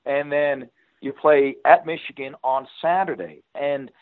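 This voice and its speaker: Guy phoned into a sports show, thin phone sound, mid voice, kinda halting. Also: medium pitch, fairly even intonation. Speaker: radio play-by-play announcer, interview guest. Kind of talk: conversational sports interview. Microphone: phone call. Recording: tinny phone line.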